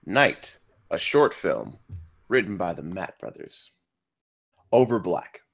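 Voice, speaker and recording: A man narrates a script in a gravelly voice with lively swings in pitch. His delivery is deliberate and announcer-like, loosening into casual asides between the read lines. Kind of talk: reading screenplay directions aloud, with casual asides.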